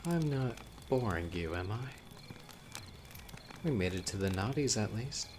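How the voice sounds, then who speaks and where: Low-voiced man acting as a campfire horror storyteller. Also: background noise, heavily acted. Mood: teasing, eerie. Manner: conversational, teasing, with dramatic pauses. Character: young male newcomer telling eerie campfire local legends. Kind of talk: casual spoken storytelling in horror audio roleplay.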